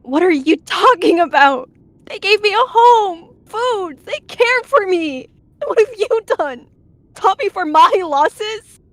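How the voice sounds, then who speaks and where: Female performer as young warrior she-cat, loyal and defiant, high voice with big swoops, for roleplay drama. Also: expressive, normal speed, dry recording. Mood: anguished anger. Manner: emotional, stammering.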